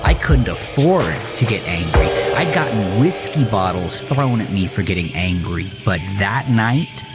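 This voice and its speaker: A man acting as a haunted, rage-filled narrator, telling a first-person horror story in an intense, brooding, deliberate way. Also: low voice. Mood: bitter. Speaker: narrator of a horror audio drama. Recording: music bed under the voice.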